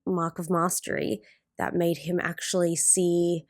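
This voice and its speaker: A woman with a slightly low voice gives casual, unscripted reaction commentary. She speaks in a halting way, working out her thoughts as she goes. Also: level tone, no room sound, studio quality. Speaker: reaction video host.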